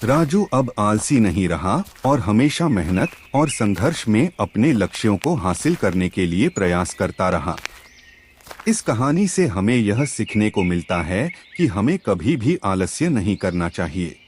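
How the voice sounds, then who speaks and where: A man's voice: fairly low pitch, sing-song, measured pace, slight background noise. A man narrating a scripted moral story for children.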